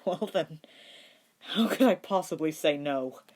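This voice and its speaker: A woman voicing a brash, gruff young man with strong feelings in a fan fiction reading. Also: sits low, lively intonation, measured pace, a little mellow. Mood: moved. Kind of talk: acted fan fiction podfic dialogue.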